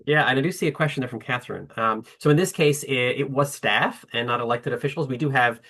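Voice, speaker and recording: Male panelist, bright tone, halting with lots of fillers. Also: medium pitch. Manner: hesitant, many fillers and restarts. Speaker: orchestra representative. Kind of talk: webinar panel talk.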